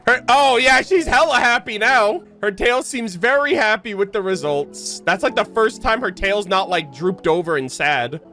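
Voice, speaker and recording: A woman streamer giving excited gameplay reactions in a gushing, slangy, exclaiming style. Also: mid-range voice, normal speed, hardly any pauses, faint music behind. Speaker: game streamer.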